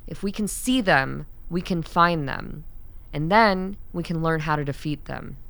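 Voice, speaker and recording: A low-pitched actress playing a zealous woman scientist in a radio play. She is stern and authoritative, and speaks in deliberate, formal phrases with great earnestness. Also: vocal fry, a bit bright, full character voice. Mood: resolute, commanding.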